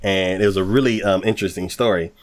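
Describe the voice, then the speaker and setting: A man's voice: deep voice, steady tone, quick pace. A man co-hosting an anime podcast, chatting casually and rambling with lots of fillers. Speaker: podcast co-host.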